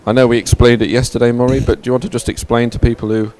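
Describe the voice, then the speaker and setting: A man's voice: medium pitch, little pitch movement, rapid-fire, a little mellow, a little hiss or hum. A man co-hosting live race commentary and reading out results, talking in an enthusiastic, wordy way. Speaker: sports commentator, co-host.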